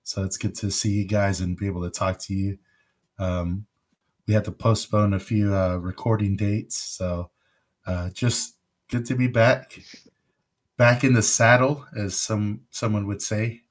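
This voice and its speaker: A man's voice: fairly low pitch, steady tone, unhurried. A man hosting a casual podcast, recovering from pneumonia, rambling and joking with plenty of fillers. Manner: rambling, jokey, many fillers. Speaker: podcast host.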